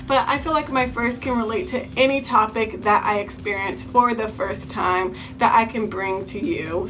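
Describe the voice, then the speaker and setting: A young woman from California's Bay Area, a beauty vlogger, chatting through a story-time video and often repeating herself. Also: medium pitch. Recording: music playing underneath.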